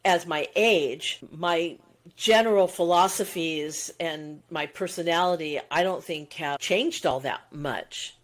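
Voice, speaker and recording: A woman's voice: low voice, slow pace. A woman in her sixties co-hosting a podcast, talking in a reflective, musing way with long winding sentences. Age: 60s. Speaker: podcast co-host. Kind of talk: casual conversational chat.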